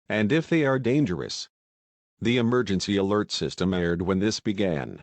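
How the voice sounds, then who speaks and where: A man playing a news anchor with a fairly low voice reads a scripted report. He is measured and formal. The recording is dry, without any room sound. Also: no room sound. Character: male news anchor reporting strange events.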